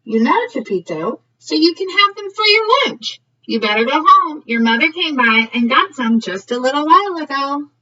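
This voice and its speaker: Storyteller as kindly village woman, lively pitch, patient, simple explaining, storybook, slightly muffled. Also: normal speed, few pauses, far from the mic. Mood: busy, friendly.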